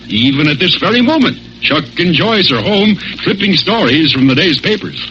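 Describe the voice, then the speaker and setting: Man, vintage radio serial announcer, swooping high delivery, dull old broadcast sound, music behind. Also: sing-song, normal speed, bright, forceful tone. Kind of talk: 1940s radio announcing, dramatic scripted narration.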